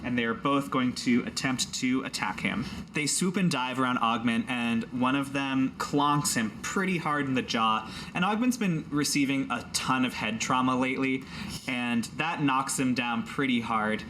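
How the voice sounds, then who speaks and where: A man's voice: medium pitch, normal speed, a bit bright, a little hiss or hum. A man running a tabletop fantasy game, narrating the action with casual, playful, vivid description. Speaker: game master.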